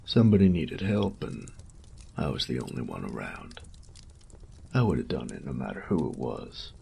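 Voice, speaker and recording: A man voicing a gruff, modest commoner swordsman in a fantasy audio roleplay, with plain folksy grammar. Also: a bass voice, very animated, moderate pace, dark tone, slight background noise, pitched below the performer's own voice, full character voice. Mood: modest, awkward.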